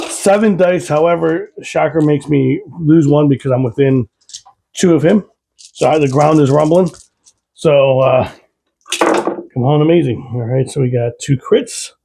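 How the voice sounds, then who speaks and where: A man's voice: fairly high pitch, gravelly, mellow, a little hiss or hum. A man hosting a tabletop game, talking casually through moves and dice rolls while losing his voice. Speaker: host.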